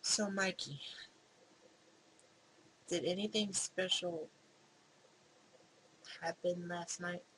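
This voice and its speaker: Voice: mid-range voice, fairly even intonation, extremely slow, long pauses, fairly bright tone, low-quality recording. Suspicious, probing young woman read by a gamer. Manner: pointed, testing questions. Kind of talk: visual novel character reading. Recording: clear background noise.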